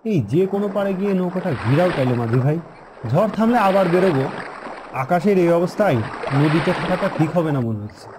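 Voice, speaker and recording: A caring young newlywed husband from a cartoon, played by a man, with a high voice. He is earnest, cautious and familiar, with clear river noise around him. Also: expressive, fast. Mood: concerned. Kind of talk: cartoon voice acting.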